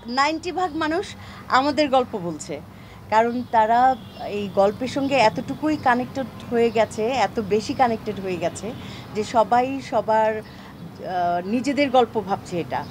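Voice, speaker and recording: A woman with a fairly high voice speaks as an actress answering press questions. Clear city traffic noise sits behind her. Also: expressive, unhurried, a little mellow. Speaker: actress being interviewed.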